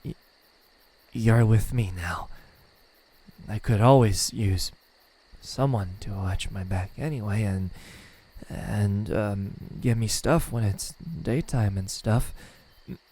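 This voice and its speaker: Here is a man acting as a lonely immortal demon in an ASMR roleplay. His voice is low and raspy, and he speaks very slowly. He is menacing and condescending, yet halting, with a tender side underneath. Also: mellow. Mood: threatening, haughty. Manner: slow, halting, condescending speech with stammers and sighs. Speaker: voice actor.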